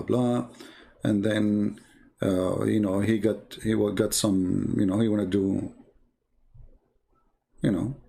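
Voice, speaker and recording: A man who is not a native English speaker gives informal, mocking commentary as he retells and reads out stories, in a halting, repetitive way. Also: fairly low pitch, creaky, mellow. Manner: sardonic, mocking. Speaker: commentator presenting religious texts. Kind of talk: informal polemical commentary, retelling and reading aloud.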